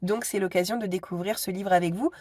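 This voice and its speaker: A woman's voice: mid-range voice, steady tone, quick pace, darkish tone, dry recording. A woman in her thirties with a cold, chatting casually to her phone camera at home, hesitant and full of asides. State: stuffy with a cold. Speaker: vlogger.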